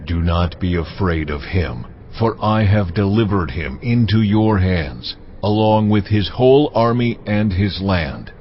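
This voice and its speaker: A man playing God as a solemn, commanding deity in a dramatized audio Bible, speaking with deliberate weight. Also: deep voice, lively intonation, highs cut off, a little hiss or hum. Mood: commanding, assuring. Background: music.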